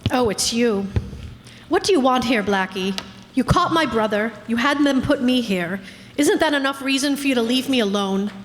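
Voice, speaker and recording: A woman's voice: a little high, expressive, moderate pace, lightly acted. Woman acting a defiant jailed schemer, reverberant hall. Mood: bitter, hostile. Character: Eva Cronin, jailed, defiant, scheming woman shielding her brother. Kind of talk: old-time radio drama acting. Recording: reverberant hall, faint background noise.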